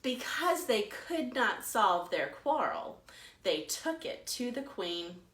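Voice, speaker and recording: An American woman, a children's librarian, telling a story to young children with deliberate, dramatic emphasis. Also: on the high side, very animated, a bit bright. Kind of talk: expressive storytelling for preschoolers. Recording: roomy echo.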